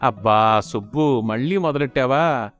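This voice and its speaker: A man's voice: mid-range voice, a little slow, fairly bright tone, close to an everyday voice. A man playing a lazy, henpecked husband who grumbles reluctantly and sarcastically in a comic audio story. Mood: resigned.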